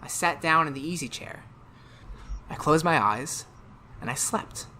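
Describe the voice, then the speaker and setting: A man's voice: a little high, unhurried, sharp tone, a little hiss or hum. A man playing a tormented, anxious teacher in 1930s-style radio drama, with an intense, melodramatic delivery. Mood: haunted. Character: tormented male biology teacher, haunted and anxious.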